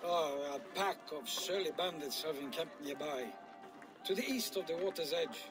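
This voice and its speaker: An actor playing a courteous, troubled Viking town deputy in a video game, speaking earnestly and ruefully. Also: male voice, fairly high pitch, normal speed, music in the background, lightly acted. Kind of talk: dramatic video game acting.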